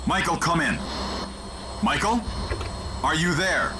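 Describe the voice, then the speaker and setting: An actor playing a mysterious male handler who guides an agent by radio, calm, commanding and instructive. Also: fairly high pitch, slow pace. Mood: steady guidance. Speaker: mission guide in a video game. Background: game score music.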